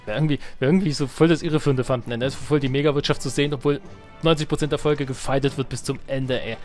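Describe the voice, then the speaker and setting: A man's voice: medium pitch, fairly even intonation, fast, a little mellow. A man giving casual, slangy gameplay commentary, thinking aloud as he plays. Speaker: let's play host. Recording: game music behind the voice. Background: strategy game music and game sounds.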